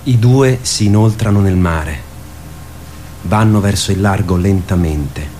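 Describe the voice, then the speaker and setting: A man's voice: low-pitched, measured pace, warm tone, slight background noise. A man delivering theatrical scripted narration as the narrator of a radio play.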